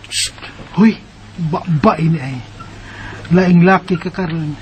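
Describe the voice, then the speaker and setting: A woman's voice: on the low side, slow pace, slight background noise. A woman playing a secretive mother in a radio drama who warns and orders her children about. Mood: bossy warning. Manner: hushed, warning and bossy. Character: secretive, sharp-tongued young mother.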